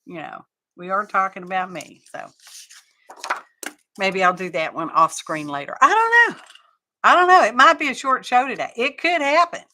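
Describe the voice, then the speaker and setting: A woman's voice: medium pitch, animated, normal speed, bright, forceful tone. A middle-aged woman hosting a craft live stream, chatting casually and rambling to her viewers as she works.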